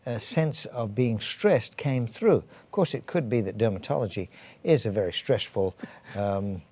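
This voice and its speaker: An English man, a church health director and physician, answering interview questions in a measured way, with fillers and restarts. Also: on the low side, expressive, a little mellow. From England.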